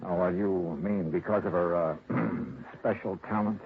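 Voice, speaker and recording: An actor playing a dry, patient insurance investigator in 1950s radio drama style, heard through a tinny old broadcast. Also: male voice, low voice, unhurried, gravelly, slight background noise. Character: wry, patient insurance detective.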